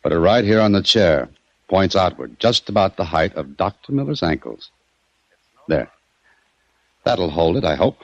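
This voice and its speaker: A very low-voiced, shrewd investigator from a vintage radio crime drama. He is commanding and sardonic, swinging widely in pitch as he needles his man. The old recording is dull and dry. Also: expressive, moderate pace, long pauses. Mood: brisk, commanding. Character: shrewd, cool-headed male investigator baiting a murder suspect.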